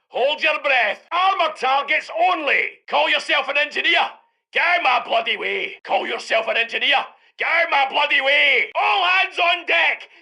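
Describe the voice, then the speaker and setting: Shouting gravelly British tank commander. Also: male voice, very high-pitched, exaggerated voice. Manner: barking short orders forcefully. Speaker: game voice actor. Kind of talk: shouted military game barks.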